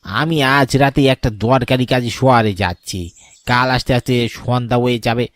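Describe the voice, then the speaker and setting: A man's voice: medium pitch, moderate pace, no echo, close to an everyday voice. A man playing a kindly, apologetic older village sweet-shop keeper in a cartoon. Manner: familiar, fatherly. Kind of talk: cartoon dialogue.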